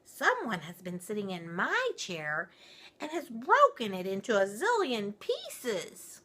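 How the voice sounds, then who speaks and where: A woman's voice: wildly swooping pitch, slow, a bit bright, exaggerated voice. A woman voicing a wee little baby bear in a children's storybook, sad, upset and wailing plaintively. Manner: high, sad, plaintive wail.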